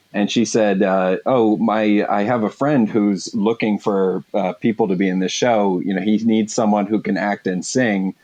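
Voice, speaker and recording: A man telling a casual anecdote in a group interview, speaking in a halting, hesitant way. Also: on the low side, a little mellow. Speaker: voice actor, interviewed cast member.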